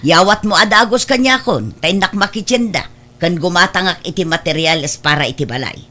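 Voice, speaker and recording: A woman's voice: lowish voice, a little fast, sharp tone. A woman with an Ilocano Filipino accent playing a demanding, money-minded mother in a family audio drama.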